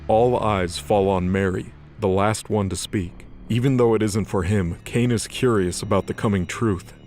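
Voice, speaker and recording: A man's voice: on the low side, steady tone, normal speed, a little mellow, slight background noise, natural voice. A man giving scripted third-person narration as the storyteller of an audio drama.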